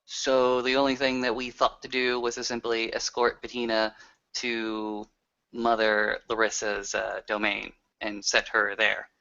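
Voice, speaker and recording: A man playing an earnest, reflective werewolf in an improvised roleplay, talking in a deliberate, halting way. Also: lowish voice, completely flat delivery, vocal fry, sharp tone, full character voice. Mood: explaining, troubled. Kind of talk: improvised in-character roleplay conversation. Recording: dull lo-fi voice recording.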